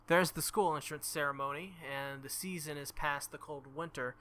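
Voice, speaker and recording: A man's voice: fairly high pitch, fairly even intonation, unhurried, a bit bright, slight background noise. A man reading visual novel narration aloud and reacting casually as a gaming video host, with music behind him. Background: game music.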